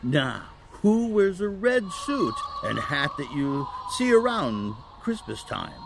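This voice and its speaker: A man's voice: fairly high pitch, sing-song, takes it slowly, creaky, noisy background, full character voice. A man playing a kindly old Saint Nicholas in a family audio drama, explaining patiently with chuckles and hesitations.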